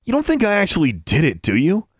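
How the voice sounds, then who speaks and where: A man's voice: mid-range voice, big pitch swings, brisk, creaky, husky, dry recording, studio quality, full character voice. A man voicing a sneering teenage boy wizard, a scornful, taunting bully in an audiobook.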